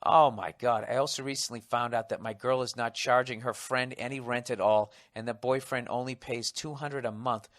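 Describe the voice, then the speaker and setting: A man's voice: mid-range voice, fairly even intonation, normal speed, few pauses, a bit bright, dry recording. A man in his forties with a Boston accent, hosting a podcast in a casual comedic monologue, cursing often and riffing sardonically as he reads a listener's letter aloud. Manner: profane, sardonic, riffing between reading lines. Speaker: podcast host.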